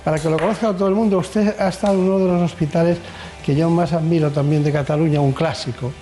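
A man's voice: on the high side, steady tone, quick pace, mellow, faint music behind. A man hosting a health radio show, introducing topics and interviewing in a conversational way, often repeating his phrases.

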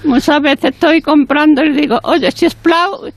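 A woman's voice: sits high, normal speed. An older woman with an Andalusian accent, chatting as a guest in an emphatic, anecdotal way with repeated words. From Andalusia, Spain. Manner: emphatic, repeats words, acts out quoted exchanges. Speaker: interview guest. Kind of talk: lively anecdotal chat.

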